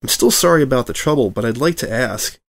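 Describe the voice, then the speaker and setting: A man's voice: mid-range voice, lively intonation, fast talker, creaky. A sheepish cartoon newspaper pony, performed by a male reader. He speaks earnestly and apologetically, with a hesitant, sincere delivery in a storybook reading.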